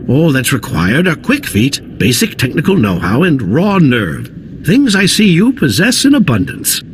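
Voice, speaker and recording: An actor playing an earnest, formal scientist in a video game, speaking articulately and with theatrical emphasis. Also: male voice, a little high, huge pitch swings, a little slow, slight background noise. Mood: wry, encouraging. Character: earnest, formal male scientist, grateful and dryly optimistic. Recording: loud music under the dialogue.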